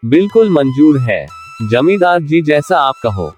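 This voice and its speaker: An actor voicing a clever, humble poor farmer in a cartoon, polite and quietly confident. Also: male voice, a little high, very animated, measured pace, husky, faint music behind, exaggerated voice. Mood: calm.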